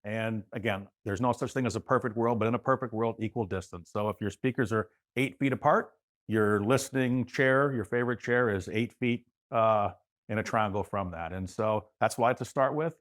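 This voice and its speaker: A man speaking as a guest expert, giving casual practical advice in a loose, halting way that circles back. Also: fairly low pitch.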